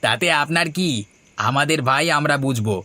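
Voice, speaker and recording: A man voicing a rude, greedy older brother in a fairy tale cartoon, with an insolent, excitable manner. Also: medium pitch, normal speed, no echo, close to an everyday voice.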